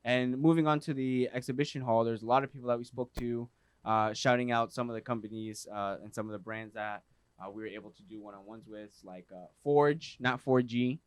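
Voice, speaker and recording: A man co-hosting a casual soccer podcast, talking in an upbeat way and giving shout-outs. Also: medium pitch, steady tone, fairly quick, clean tone, no room sound. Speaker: podcast co-host. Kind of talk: casual chat.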